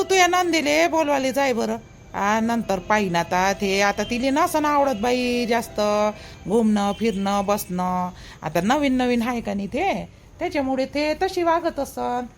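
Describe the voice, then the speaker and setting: A woman's voice: fairly high pitch, lively intonation, measured pace, soft background music. A woman playing a matter-of-fact, placating village woman in a cartoon, giving advice with a Varhadi Marathi accent from Vidarbha. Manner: advising, dismissive of fuss. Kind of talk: amateur cartoon dialogue in village dialect.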